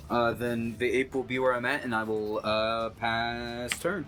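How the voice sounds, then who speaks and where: A man's voice: mid-range voice, flat delivery, measured pace. A man playing a tabletop game, chatting casually and thinking aloud with some hesitation. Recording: music faintly behind the voice. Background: background music.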